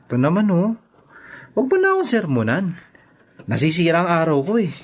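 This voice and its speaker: A carefree, irresponsible young Filipino man in a radio drama. His fairly high voice wheedles and makes light of things. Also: huge pitch swings, brisk, exaggerated voice. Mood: wheedling, flippant. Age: young adult. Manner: wheedling, flippant. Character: happy-go-lucky, irresponsible young father, wheedling son.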